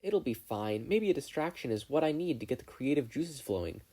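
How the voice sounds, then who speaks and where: A narrator plays an awkward, earnest teenage boy in an audiobook story. The delivery jumps about excitedly, apologetic and self-deprecating, in a soft-toned, husky voice. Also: mid-range voice, a little fast, voice pushed up, natural voice. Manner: flustered, eager, apologetic. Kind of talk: fan fiction audiobook character voice.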